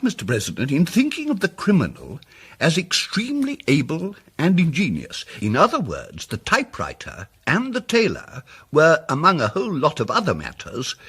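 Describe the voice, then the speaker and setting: A man acting a timid, apologetic but persistent English gentleman amateur sleuth in a radio mystery drama, speaking politely with hesitant, stammering starts. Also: fairly high pitch, huge pitch swings, normal speed, husky, full character voice. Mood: quietly confident.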